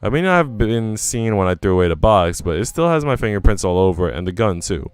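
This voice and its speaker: A man's voice: on the low side, very animated, fast talker, clean tone, dry recording. A man voicing an anxious young woman who narrates her troubles in a visual novel, dramatic and self-questioning. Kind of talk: visual novel first-person reading.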